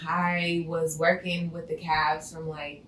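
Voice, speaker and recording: A woman's voice: deep voice, level tone, takes it slowly, fairly bright tone. A woman in her thirties from Ohio, an entrepreneur guest talking casually in an interview. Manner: conversational, says "you know what I'm saying". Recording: roomy, slightly distant mic.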